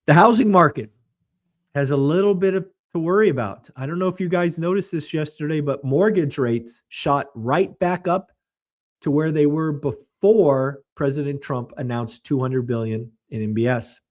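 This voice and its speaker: A man with a slightly raised pitch, his voice a little hoarse as if it is fading. He hosts a real estate show and delivers market commentary in a blunt, emphatic way, with a mocking edge. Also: moderate pace.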